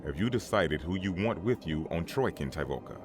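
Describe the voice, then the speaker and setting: A man portrays an authoritative Jedi elder of the council with a low, lively-pitched voice. He is formal and decisive. Music is prominent beneath this comic dub. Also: fairly quick. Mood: assured. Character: assured, authoritative male Jedi council master. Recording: loud music under the voice.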